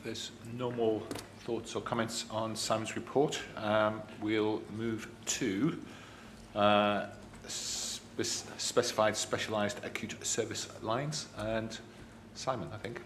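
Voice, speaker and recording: A man chairing a board meeting and moving through the agenda slowly and deliberately. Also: fairly low pitch, level tone, a bit bright, echoey room, slight background noise. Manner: slow, deliberate. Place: meeting room.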